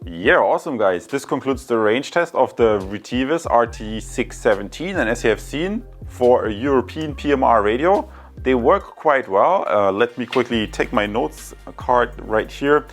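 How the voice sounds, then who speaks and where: A man's voice: medium pitch, animated, moderate pace, faint music behind. A man hosting a product review, giving a casual, unscripted summary in an upbeat way, addressing viewers directly. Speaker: product reviewer, video host.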